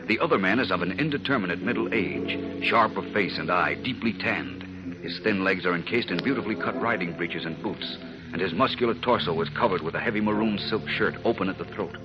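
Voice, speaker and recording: A man's voice: low-pitched, expressive, a little fast, muffled recording, music in the background. A man narrating a 1940s radio serial in a dramatic, portentous announcer's manner.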